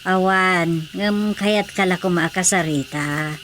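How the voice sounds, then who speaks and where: A woman's voice: lowish voice, slow pace, fairly bright tone, a little hiss or hum. A woman acting a concerned, prying married aunt in a radio drama, questioning and insistent with an Ilocano accent.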